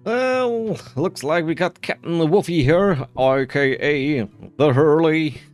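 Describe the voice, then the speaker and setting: A man's voice: a little high, faint music behind. A man giving casual, playful playthrough commentary on a video game, often musing to himself. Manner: playful, self-talking, drawn-out musing. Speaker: video game player and narrator.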